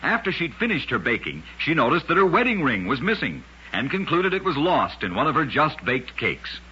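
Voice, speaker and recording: Bright, husky male radio storyteller. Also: on the high side, very animated, normal speed. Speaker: radio show host and storyteller. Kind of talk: scripted old-time radio trivia narration. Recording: dull, lo-fi old radio recording.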